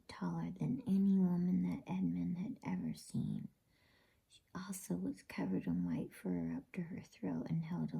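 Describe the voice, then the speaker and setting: Slow, breathy whispering woman storyteller. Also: low voice, warm tone. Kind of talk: ASMR bedtime story reading.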